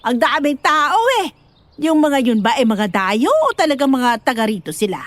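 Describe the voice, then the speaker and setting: Doting older nanny played by a woman, high and animated, chatty, fussy, audio-drama delivery. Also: moderate pace, no echo. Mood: cheerful, chatty. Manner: talkative, fussing, exclaiming. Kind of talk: dramatised audio horror story acting.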